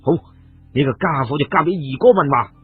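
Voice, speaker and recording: A man's voice: a little high, fairly quick, husky. A man voicing a cool, commanding male leader with a Cantonese accent in an audio adventure story. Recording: tinny radio-like recording, music behind.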